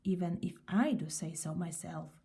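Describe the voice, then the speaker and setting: A woman voicing a tiny, busy, self-pleased elf from a children's storybook, in a proud, expressive manner. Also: on the low side, moderate pace, vocal fry, pitched below the performer's own voice.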